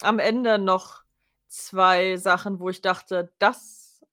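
A woman's voice: mid-range voice, steady tone, slow, fairly bright tone. Hesitant giggly woman. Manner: hesitant, many fillers, giggly. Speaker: podcast co-host. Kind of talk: casual chat.

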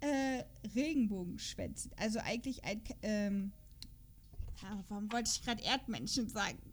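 A woman's voice: medium pitch, normal speed, a little hiss or hum. A woman in a casual podcast chat, playful and giggly, reading character profiles aloud and stumbling over words. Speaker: podcast host.

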